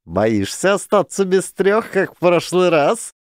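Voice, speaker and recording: A man's voice: big pitch swings, measured pace, no echo, studio quality. A man putting on a teasing, chuckling old manager. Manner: chuckling, coaxing, high-pitched banter. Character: jovial older male mine manager, teasing. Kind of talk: audiobook character voice.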